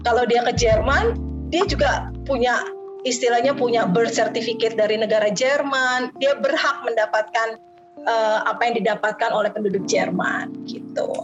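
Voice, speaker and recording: A woman's voice: a little high, steady tone, moderate pace, dull audio, over music. Chatty woman with Jakarta Betawi accent. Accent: Betawi-tinged Jakarta Indonesian. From Jakarta, Indonesia. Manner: chatty, mixes in English words, frequent fillers. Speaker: interview guest, lecturer. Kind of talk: casual interview.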